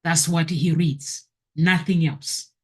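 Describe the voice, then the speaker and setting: A woman's voice: deep voice, fairly even intonation, slow, a little mellow, slightly rough. A woman hosting a motivational video, talking earnestly to her viewers and repeating her key phrases.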